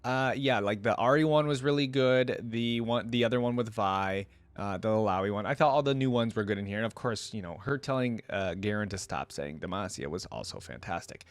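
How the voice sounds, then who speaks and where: A man's voice: fairly low pitch, brisk, few pauses, no echo. A man giving casual, rambling reaction commentary as a video host, thinking aloud.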